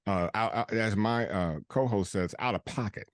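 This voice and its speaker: A man hosting an interview, talking enthusiastically with many halting restarts and repeated words. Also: on the low side, no echo, studio quality. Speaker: interview host. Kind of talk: casual interview chat.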